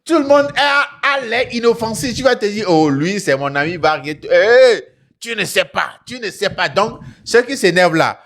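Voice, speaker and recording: A high-pitched male voice with a West African French accent. He hosts an online show as an animated storyteller, talking straight to viewers. He hammers his points by repeating phrases and tossing in little questioning tags. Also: moderate pace. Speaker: host of an online talk show.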